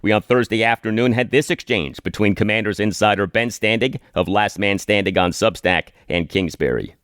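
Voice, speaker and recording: Male sports podcast host, lowish pitch, dry sound, measured analytical delivery. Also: a bit bright, dry recording.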